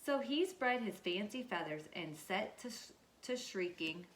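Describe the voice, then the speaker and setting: A woman's voice: mid-range voice, lively intonation, a little slow, a little hiss or hum. Woman teacher reading aloud in an echoey room. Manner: instructive, checks in with questions. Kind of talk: children's storybook read-aloud with asides.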